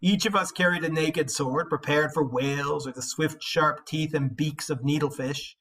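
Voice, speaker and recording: A man voicing a proud, boastful hero warrior in an epic poem, declaiming with measured emphasis. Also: fairly high pitch, a bit bright, far from the mic. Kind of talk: dramatic epic poem read-aloud.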